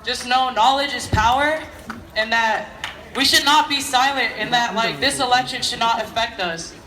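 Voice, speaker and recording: Hoarse teen boy shouting at a rally. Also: very high voice, normal speed, slight background noise. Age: teenager. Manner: casual, lots of "like", builds to shouting. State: hoarse, losing his voice. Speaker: student speaker at a protest. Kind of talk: impassioned rally speech. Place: outdoor school protest crowd. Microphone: phone camera in the crowd. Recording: far-off phone recording of an echoey crowd.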